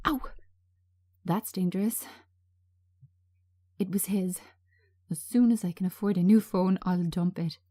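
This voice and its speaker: A woman reading a script as a traumatised, anxious woman on edge, agitated and halting as she repeats herself. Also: on the low side, mellow, no room sound, studio quality. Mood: sharp, annoyed.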